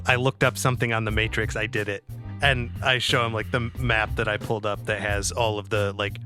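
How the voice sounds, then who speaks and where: A man with a bright, forward voice plays a tabletop roleplaying game, chatting casually about what his character does. Soft music runs underneath. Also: medium pitch. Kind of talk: casual chat, describing his character's actions.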